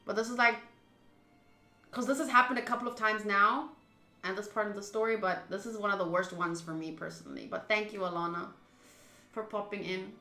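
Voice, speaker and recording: A woman streaming a visual novel playthrough, reading the text aloud and chatting with viewers in an expressive way. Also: mid-range voice, a little fast, roomy sound, soft background music. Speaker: game streamer.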